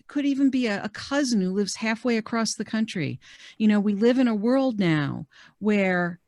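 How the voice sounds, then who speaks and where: A woman's voice: medium pitch, dry recording, studio quality. A middle-aged woman career coach presenting a networking webinar from her home office over a video call, talking conversationally with plenty of fillers. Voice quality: loud voice. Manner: conversational, lots of fillers and restarts.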